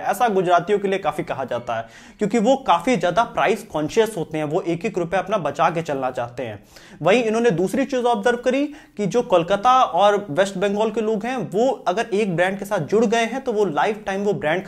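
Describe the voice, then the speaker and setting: A young man hosting a business explainer, narrating in a steady, scripted way and talking with his hands, recorded on a lapel mic in a studio. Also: high voice.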